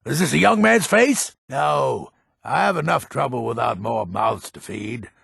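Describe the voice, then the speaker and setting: Gravelly, crotchety old alchemist. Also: male voice, medium pitch, lively intonation, measured pace, a bit bright, no echo, studio quality, full character voice. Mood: gloomy, grumbling. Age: older. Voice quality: gravelly, rasping. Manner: crotchety, curt, exasperated. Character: grumpy, pessimistic old male alchemist and shopkeeper. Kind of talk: fantasy video game character acting.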